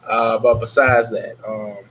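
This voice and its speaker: A man's voice: on the low side, level tone, a little slow, fairly bright tone. Man, sick, nasal and congested, scratchy throat, rambling, blunt, livestream host, faint background noise. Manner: rambling, candid and blunt, reads out chat names. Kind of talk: casual live Q&A chat.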